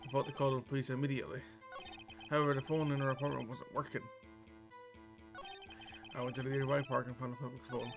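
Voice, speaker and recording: A man's voice: mid-range voice, flat delivery, quick pace, vocal fry, pauses often, lightly acted. A man voicing a nervous, evasive male witness in a visual novel game, hesitant and stammering as he over-explains. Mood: recounting. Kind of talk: visual novel game dialogue read aloud. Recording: game music behind the voice.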